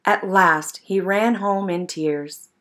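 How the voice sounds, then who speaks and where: The woman at story time in a primary classroom, reading a picture book aloud with measured expression, her pitch in the middle range. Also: fairly bright tone. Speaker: storybook reader. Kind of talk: scripted narration, read-aloud of a children's picture book.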